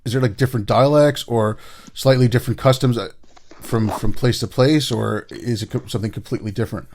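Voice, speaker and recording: Host: man with a gravelly, creaky voice, casual and loose, lots of fillers. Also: mid-range voice, steady tone, brisk, darkish tone. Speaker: podcast host. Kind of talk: podcast interview.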